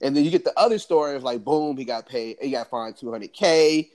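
A man co-hosting a sports podcast, talking excitedly in casual slang. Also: medium pitch, fairly quick. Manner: excited, animated, lots of slang. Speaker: podcast co-host.

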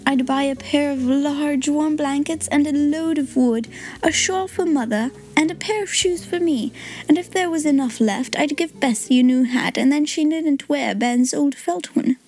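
A woman's voice: high voice, moderate pace, darkish tone, lightly acted. A woman doing a tender, cheerful poor little girl. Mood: eager.

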